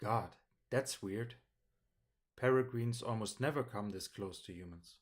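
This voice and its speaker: A man's voice: fairly low pitch, unhurried. A man playing a casual, mild middle-aged father in an audiobook, speaking in an understated way. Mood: casual, mildly amazed. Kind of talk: audiobook character acting.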